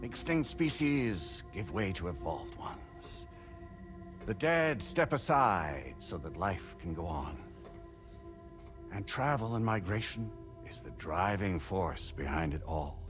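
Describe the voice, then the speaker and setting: An actor playing a calm, grandiose non-human AI collective in a game cutscene, lecturing in formal, deliberate oratory. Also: male voice, sits low, full character voice. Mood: measured lecturing. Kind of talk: video game cutscene monologue. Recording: music under the voice.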